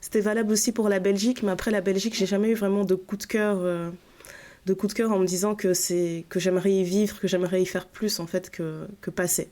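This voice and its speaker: A woman from northern France, in her thirties, speaks with a warm, mellow voice at an everyday pitch. She tells her story in a relaxed, rambling way, full of fillers and restarts, as a podcast guest. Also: fairly quick. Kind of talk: casual storytelling chat.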